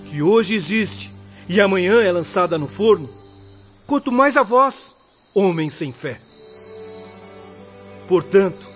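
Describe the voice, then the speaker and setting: An actor playing a humble, good-humored and devout poor farmer in a radio drama, speaking earnestly. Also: male voice, high voice. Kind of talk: Brazilian radio drama acting. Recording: dull lo-fi audio.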